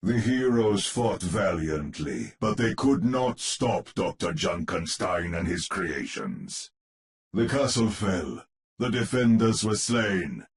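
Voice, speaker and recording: A man playing a melodramatic storyteller, narrating a spooky heroic tale for a video game in a grand, theatrical manner with drawn-out emphasis. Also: lowish voice, creaky, exaggerated voice. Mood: ominous, grave. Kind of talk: theatrical scripted gothic horror-tale narration.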